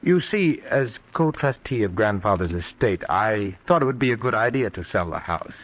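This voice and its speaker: Scheming nephew, apologetic and polite, lowish voice with lively swings, vintage radio play. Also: male voice, moderate pace. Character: smooth, scheming nephew placating his aunts. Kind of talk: old-time radio drama acting. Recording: noisy vintage radio recording.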